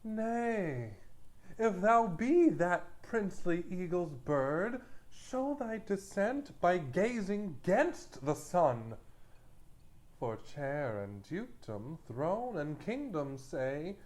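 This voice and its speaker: An actor playing a proud young nobleman bent on revenge, declaiming Shakespearean verse deliberately. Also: sits high, sing-song, a little mellow, heavily acted. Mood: vengeful, defiant.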